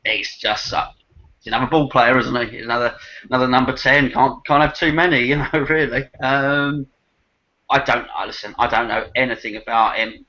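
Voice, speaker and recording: A man with a bright, forceful voice at medium pitch co-hosts a football fan chat. He is dry and teasing, and the sound is a bit dull. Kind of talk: casual chat.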